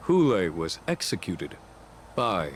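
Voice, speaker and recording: An actor playing a calm, authoritative statesman general with a formal, dry, deliberate way of talking. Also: low-pitched, slight background noise, full character voice. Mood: composed.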